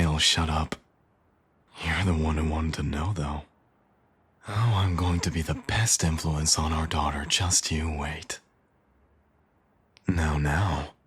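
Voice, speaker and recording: A man playing a smug, seductive villain and doting father in an intimate ASMR roleplay, murmuring in a teasing, sly way. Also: exaggerated voice. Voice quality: husky, very deep, breathy at times.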